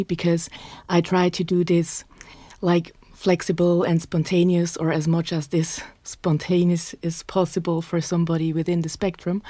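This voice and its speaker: A woman in her thirties with a Swiss German accent, hosting her own podcast in an earnest, frank monologue, often adding "like" between quick bursts. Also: fairly low pitch, mellow, no echo. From Switzerland. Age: 30s. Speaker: podcast host.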